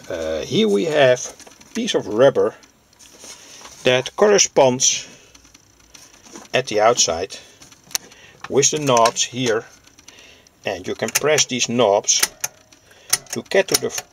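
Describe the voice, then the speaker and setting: A man from Europe presents an informal hobbyist demonstration at a slow, deliberate pace. His medium-pitched voice has a lot of creak. He speaks hesitantly, stopping often in the middle of sentences. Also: slight background noise. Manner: halting, hesitant, pausing mid-sentence. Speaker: presenter, vintage radio hobbyist.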